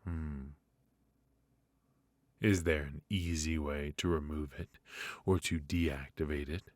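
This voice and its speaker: A man playing a ruthless, smugly menacing mafia boss in a noir ASMR roleplay, speaking deliberately and theatrically with mock affection. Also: rumbling low, steady tone, no echo, exaggerated voice. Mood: coolly taunting. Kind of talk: ASMR roleplay, noir audio drama acting.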